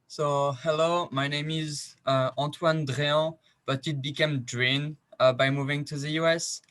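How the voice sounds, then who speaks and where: A man's voice: a little high, fairly even intonation, unhurried, a bit bright. Young Frenchman with a heavy accent. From France. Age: young adult. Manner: hesitant, many fillers. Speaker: scholarship recipient. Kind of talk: casual self-introduction on a video call.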